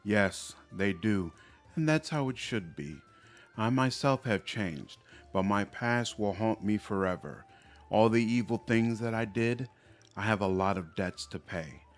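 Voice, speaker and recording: A man voicing a reformed female demon from a fantasy game, earnest, gentle and measured. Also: fairly low pitch, expressive, soft background music. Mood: remorseful.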